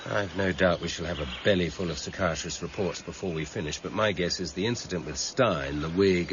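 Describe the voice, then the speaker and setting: Actor as a low-voiced, weary English police detective. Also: male voice, natural voice. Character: senior male police detective, weary, measured, quietly authoritative. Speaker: actor in a radio crime drama. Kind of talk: 1990s British radio drama acting. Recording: muffled, noisy old radio broadcast recording.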